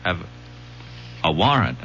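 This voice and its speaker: A crafty police detective in a radio drama. His low, bright voice swings widely in pitch as he stalls and repeats himself with folksy false friendliness. The recording is dull, with a faint hum. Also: sing-song, slow pace, full character voice. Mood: slyly probing. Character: wily, casual male police detective, feigning friendliness. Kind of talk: 1970s radio drama acting.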